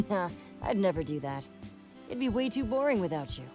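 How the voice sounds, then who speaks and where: A man voicing a calm, reassuring friend with dry humour in a visual novel. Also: high-pitched, normal speed, music in the background, pitched above the performer's own voice. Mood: dryly joking.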